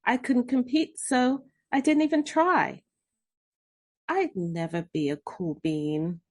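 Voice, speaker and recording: A woman narrating a children's storybook, reading expressively with drawn-out emphasis and dramatic pauses. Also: on the high side, expressive, darkish tone. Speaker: read-aloud host narrating children's books. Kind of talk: scripted children's storybook narration in first person.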